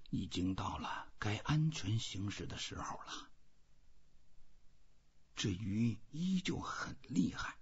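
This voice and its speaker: Man acting a stubborn old fisherman, husky and breathy, slow self-talk, storybook reading, weary. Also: on the low side, highs cut off, heavily acted. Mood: weary, musing.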